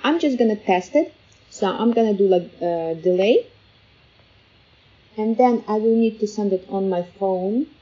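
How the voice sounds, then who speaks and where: A woman hosting a casual step-by-step software tutorial, explaining informally and a little hesitantly. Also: medium pitch, unhurried, pauses often. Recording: slightly dull, lo-fi voice-over.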